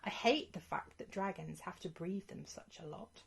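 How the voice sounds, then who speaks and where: A woman voicing a gentle, earnest young female dragon in a children's storybook. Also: on the low side, moderate pace, vocal fry, breathy edge. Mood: wistful. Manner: earnest, wistful.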